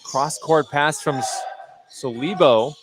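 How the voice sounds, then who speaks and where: A man's voice: fairly high pitch, takes it slowly, low-quality recording. A man doing live play-by-play commentary of a basketball game for a radio broadcast. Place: at courtside in an indoor gymnasium. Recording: live game broadcast over loud gym noise.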